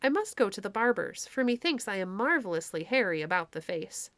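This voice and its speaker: A woman's voice: mid-range voice, big pitch swings, fairly quick, a bit bright, dry recording. A woman voicing a foolish, self-important male clown with a donkey's head in a storybook, blunt and bossy. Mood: self-satisfied.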